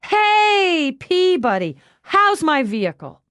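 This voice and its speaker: A woman's voice: very high-pitched, big pitch swings, slow, fairly bright tone, no room sound, pitched above the performer's own voice, heavily acted. A woman voicing a tough, foul-mouthed policewoman who is brash and blurting, in an audiobook drama. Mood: goofy, dreamy. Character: tough, foul-mouthed policewoman turned giddy and goofy by painkillers.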